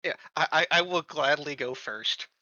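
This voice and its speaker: A man's voice: a little high, moderate pace, pushed and bright, no room sound. A man playing a tabletop game, chatting casually and often repeating his words, on slightly dull audio.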